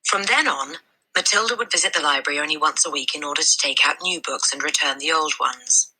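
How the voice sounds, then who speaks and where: A woman reading a children's story aloud as a teacher, with deliberate, expressive narration. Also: sits low, breathy edge, poor audio.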